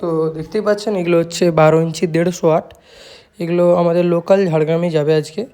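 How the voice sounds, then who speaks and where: Fast-talking West Bengali man, informal product pitch. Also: fairly even intonation, dark tone, smooth. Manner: repetitive sales talk with frequent check-in tags. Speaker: shop owner presenting own speakers. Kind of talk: informal product showcase vlog.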